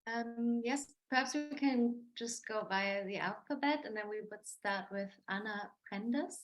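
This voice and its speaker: Brief: woman co-host, soft quiet voice, short announcements over a video call. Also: on the high side, unhurried, poor audio. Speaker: seminar co-host.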